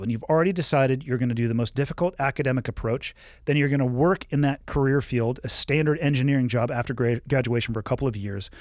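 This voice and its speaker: A man's voice: medium pitch, no room sound, clean studio sound. A man in his forties hosting a podcast, reading a listener's email and answering with frank, coaching advice. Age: 40s. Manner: frank, coaching, blunt at times. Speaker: podcast host.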